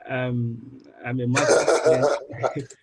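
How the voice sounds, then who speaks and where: Nigerian male student panelist, mid-pitched, quick self-introduction. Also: level tone, noisy background.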